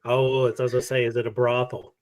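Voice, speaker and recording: A man's voice: medium pitch, little pitch movement, fast, a little mellow, dry recording. A man hosting a casual chat, giving short reactions and laughing often. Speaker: podcast host.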